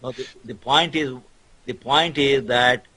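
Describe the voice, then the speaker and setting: A husky-voiced man of medium pitch speaks as a guest scholar in a debate. He explains point by point, halting between phrases yet insistent. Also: steady tone, pushed and bright, poor audio.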